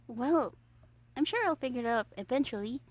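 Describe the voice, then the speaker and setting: High-voiced, theatrical young villain. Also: female voice, expressive, normal speed. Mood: flustered, possessive. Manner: gleeful, swinging between exclamations and hushed asides. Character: theatrical, mischievous, lovestruck young villain scheming into a recorder. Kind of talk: character audio roleplay, dramatic monologue.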